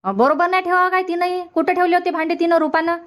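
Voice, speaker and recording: A woman's voice: very high voice, steady tone, quick pace, a bit bright. A woman voicing a grumbling, doubting middle-aged mother in a cartoon comedy, with a Varhadi Marathi accent. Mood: defensive. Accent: Varhadi Marathi from Vidarbha.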